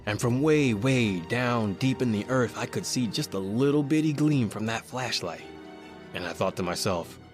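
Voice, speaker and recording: A man's voice: fairly low pitch, natural voice. A man playing a tough crook narrating, music underneath. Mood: grim, uneasy. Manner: colloquial, slangy, dismissive. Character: tough, impatient male crook narrating his own horror tale. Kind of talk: old-time radio horror acting with first-person narration.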